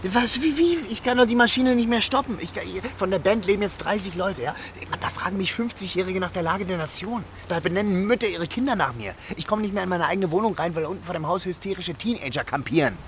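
An actor playing a burnt-out, foul-mouthed young punk rock singer in a radio play, agitated and stammering. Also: male voice, sits high, fast, few pauses, a bit bright, a little hiss or hum. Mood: exasperated. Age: young adult. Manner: agitated, ranting, stammering, crude. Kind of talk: naturalistic radio play dialogue.

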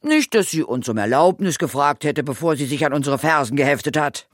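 A woman's voice: low voice, animated, fairly quick, no echo, studio quality. A woman playing a resolute older female ghost hunter and mentor, authoritative and matter-of-fact, in a children's radio play.

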